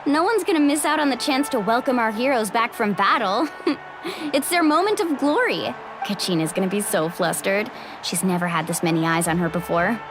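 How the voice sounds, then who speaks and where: A woman's voice: a little high, animated, normal speed, close to an everyday voice. A woman playing an upbeat, confident young warrior of a seaside tribe in video game dialogue, easygoing and reassuring, with music behind her. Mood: cheerful.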